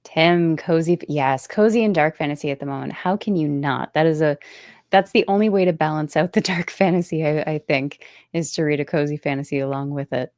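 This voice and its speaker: Female book-show host, low register, casual conversational delivery, dry sound with little room. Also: a little fast, a little mellow, no room sound. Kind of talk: casual book chat interview.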